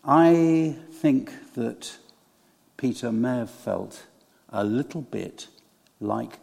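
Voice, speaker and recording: Older man, slow delivery, medium pitch, hesitant storytelling sermon, reverberant church space. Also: creaky, darkish tone. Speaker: preacher. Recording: echoey church hall with long reverb.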